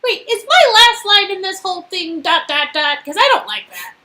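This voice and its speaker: A woman's voice: very high voice, animated, moderate pace, bright, forceful tone. A woman co-reading an interactive story game, with playful casual asides.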